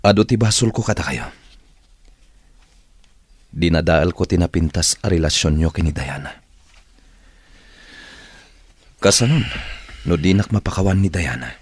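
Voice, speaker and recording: A man's voice: low voice, expressive, moderate pace, long pauses, slightly rough, no echo. An actor playing a troubled, remorseful man, anxious and earnest, in an Ilocano-accented radio drama. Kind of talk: Filipino radio drama acting.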